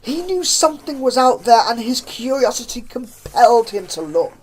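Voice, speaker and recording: A woman narrates a horror story in a theatrical, suspenseful way, with drawn-out phrases and hushed drops. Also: a little high, big pitch swings, low-quality recording. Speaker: fan fiction narrator. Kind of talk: dramatic horror story reading. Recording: clear background noise with ambience.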